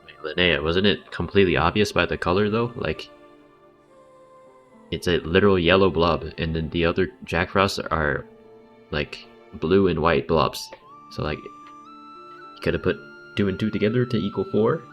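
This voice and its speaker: A man's voice: sits low, moderate pace, long pauses, dull audio, faint music behind. A man giving slangy, mildly sarcastic live reactions as he streams a game.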